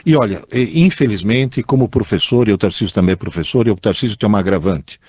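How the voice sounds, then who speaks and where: A man's voice: on the low side, lively intonation, quick pace, darkish tone, breathy edge, no echo. A man with a Brazilian accent co-hosting a radio show, explaining things conversationally in a teacherly way, repeating points for emphasis. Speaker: radio co-host. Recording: dull lo-fi radio recording.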